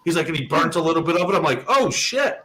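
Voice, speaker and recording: Profane, animated storytelling man. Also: on the high side, fast. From Massachusetts. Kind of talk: casual chat, storytelling.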